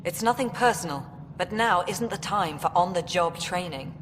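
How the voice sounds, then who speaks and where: An actress playing a stern, skeptical station official in a video game, speaking in a curt, cool way. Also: female voice, on the low side, expressive, normal speed, lightly acted. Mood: disapproving. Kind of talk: video game cutscene acting. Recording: echoey hall with clear station noise.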